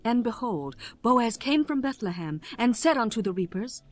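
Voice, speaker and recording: A woman narrates scripture in a scripted audiobook style. Her voice sits a little high, and soft music runs behind it. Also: expressive, normal speed.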